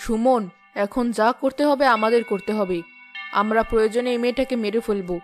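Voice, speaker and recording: A woman's voice: fairly high pitch, fairly quick, close to an everyday voice. A woman voicing a domineering, scheming mother-in-law in a cartoon, scolding and bossy. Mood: menacing, scheming. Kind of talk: cartoon voice acting. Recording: music behind the voice. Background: background music.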